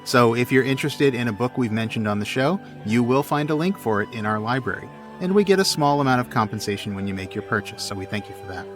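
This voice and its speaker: Man co-hosting a podcast, mid-pitched voice. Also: animated, quick pace, few pauses, faint music behind. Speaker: podcast co-host. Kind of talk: casual banter and show sign-off.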